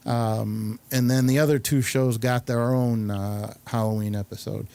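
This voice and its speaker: A man hosting a casual talk show, speaking in a halting but enthusiastic, rambling way. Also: medium pitch, steady tone, vocal fry, warm tone. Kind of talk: casual rambling round-table chat.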